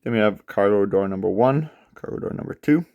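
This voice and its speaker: A young man walks through a model unboxing in an easygoing, unscripted way, pausing often on fillers. His voice sits very low with a rough, gravelly edge. A cold leaves him slightly stuffy and nasal. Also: highs cut off. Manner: relaxed, many filler pauses. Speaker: aviation model channel host. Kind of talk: casual product unboxing walkthrough.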